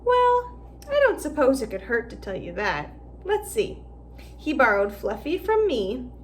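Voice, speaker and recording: A woman reading aloud and voicing a big, secretive gamekeeper man who talks roughly and drops word endings. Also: sits high, very animated, unhurried, slight background noise. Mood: pleased.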